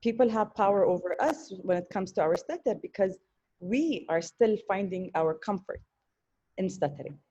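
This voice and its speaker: A woman on an online panel, speaking with earnest conviction and often pausing to repeat a word. Her voice is mellow and a little dark. The audio is dull and lo-fi, like a video call. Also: medium pitch, lively intonation. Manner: thoughtful, earnest, with hesitations and repetitions.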